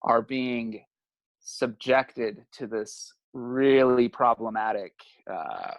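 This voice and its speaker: Man, late thirties, candid and reflective, mid-pitched voice, dry close sound. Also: slow, gravelly, no room sound, lo-fi sound. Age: 30s. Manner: reflective, laughs often, swears casually. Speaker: guest, family therapist. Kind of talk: candid conversational interview.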